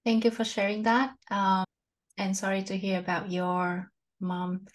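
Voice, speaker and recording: Gentle woman podcast host. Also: medium pitch, flat delivery, measured pace. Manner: gentle, appreciative interviewer. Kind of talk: interview questions.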